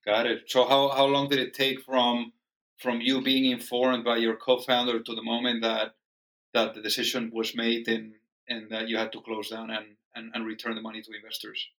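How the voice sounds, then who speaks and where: A male podcast host at a middle pitch asks follow-up questions in a conversational way. He restarts his phrases, doubling words before getting to the point, and answers with short acknowledgements. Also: completely flat delivery, moderate pace, a bit bright, rough recording. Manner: repeats words when asking, affirming "I hear you", "got it". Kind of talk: interview questions.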